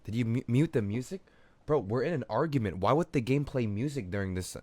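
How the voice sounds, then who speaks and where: A man's voice: medium pitch, expressive, fairly quick, a little mellow, dry recording. A man streaming a game with casual, slangy, joking live reactions.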